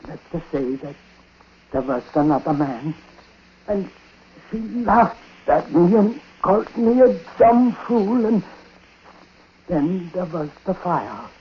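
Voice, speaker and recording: A bitter, superstitious old man on his deathbed, grave and halting, drags out each phrase very slowly. The 1940s radio drama recording is dull, with faint noise. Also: sits high, expressive. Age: older.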